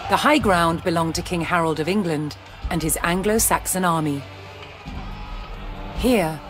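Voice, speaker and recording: A narrator reading a scripted historical account in a measured, dramatic storytelling manner. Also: mid-range voice. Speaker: documentary narrator. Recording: loud music behind the voice. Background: loud background music.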